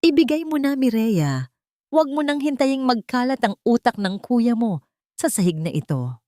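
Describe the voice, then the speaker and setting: A ruthless young villainess, voiced by a woman narrator, shrill and desperate. She makes menacing demands in an audio melodrama, close and dry. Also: big pitch swings, moderate pace, dark tone, no room sound. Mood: frantic, threatening.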